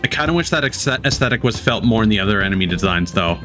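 A man's voice: on the low side, lively intonation, quick pace. A man streamer giving casual, blunt live reactions. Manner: blunt, sweary. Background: trailer music.

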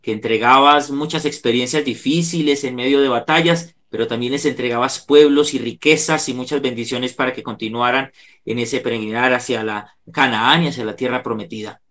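A man's voice: mid-range voice, steady tone, fairly quick, fairly bright tone. A middle-aged man with a Colombian accent, speaking earnestly in a preacher-like way as he gives a devotional talk over a video call. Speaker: psychologist giving a talk. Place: indoor room, on a video call. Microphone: video call microphone.

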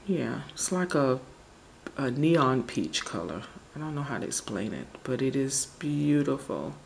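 A woman casually talking through a home nail tutorial, relaxed and chatty, often searching for words. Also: very deep voice, measured pace, creaky, a little hiss or hum, rough recording. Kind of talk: casual how-to narration. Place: home table, indoors.

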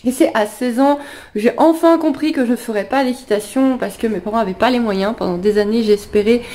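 High, mellow-voiced woman with sniffles. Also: brisk. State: slightly ill with sniffles. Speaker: animal vlogger. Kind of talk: casual personal storytelling to camera. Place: living room at home, indoors.

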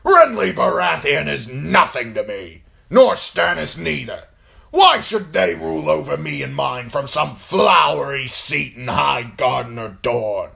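A booming, fierce northern warlord, shouting. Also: male voice, very animated, unhurried, vocal fry, exaggerated voice. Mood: scornful shouting. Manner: shouting, thunderous, scornful. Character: huge booming northern lord, fierce, defiant rebel. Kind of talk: audiobook fantasy character acting.

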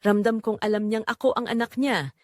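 A woman's voice: on the high side, steady tone, a little fast, a little mellow, dry recording, studio quality. A woman with a Filipino accent narrating a scripted audio drama in the first person, in a dramatic, confessional way. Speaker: narrator telling the story as its main character.

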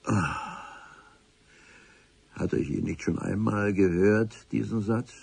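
An actor playing the wry, literate narrator of an adventure radio play, telling it in an ornate, deliberate way. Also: male voice, lowish voice, fairly even intonation, vocal fry, darkish tone, slightly rough, no echo, exaggerated voice. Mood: musing.

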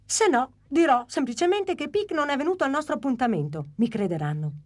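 A woman's voice: sits high, big pitch swings, brisk. A woman voicing a young, eager and adventurous boy dinosaur in a dubbed cartoon. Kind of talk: Italian cartoon dub.

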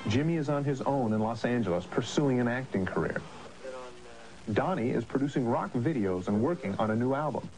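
A male TV reporter reading scripted narration in a polished, even broadcast delivery. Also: mid-range voice, a little fast, faint music behind, poor audio.

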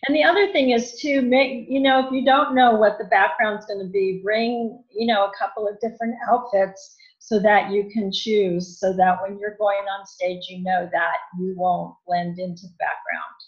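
A woman's voice: little pitch movement, normal speed, few pauses. A woman guest giving casual style advice in conversation, explaining things in a matter-of-fact way. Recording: dull, muffled low-fidelity audio.

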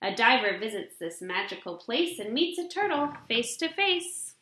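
A young adult woman reading a children's picture book aloud in an expressive, deliberate storybook delivery, recorded in a room at home. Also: a little high. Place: small indoor room at home. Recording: roomy, echoing home webcam recording.

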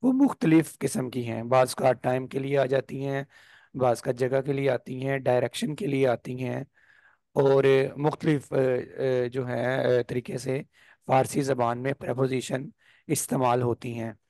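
A man with a sore throat teaching a language class over a video call, explaining slowly and clearing his throat often. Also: medium pitch, flat delivery, darkish tone, no echo. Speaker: Persian teacher. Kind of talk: online class lesson.

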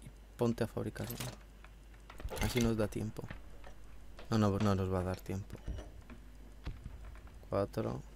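A man's voice: fairly low pitch, fairly even intonation, unhurried, creaky, long pauses, background noise. A man from with a slight cold, chatting casually and swearing while commentating a video game from home. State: has a cold, throat a bit hoarse. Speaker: gameplay commentator. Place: at home in a room.

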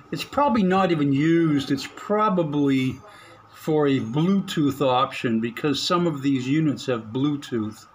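A man giving casual, unscripted how-to commentary on an electronics project, halting as he thinks aloud. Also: a little high, a bit bright, a little hiss or hum. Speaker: hobbyist narrating an electronics modification. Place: indoors at a work table.

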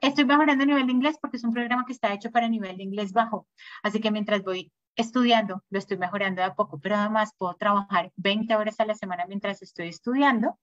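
Upbeat woman pitching study programs. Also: fast talker, a bit bright, no echo, highs cut off. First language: Spanish. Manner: informative, upbeat sales pitch. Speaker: school sales manager presenting. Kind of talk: webinar presentation.

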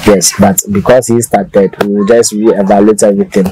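A man's voice: lowish voice, little pitch movement, normal speed, warm tone, husky. A man in his thirties from Ghana, a farmer telling his story in a casual interview, easygoing and restarting often, recorded outdoors under a thatched shelter on a lapel mic.

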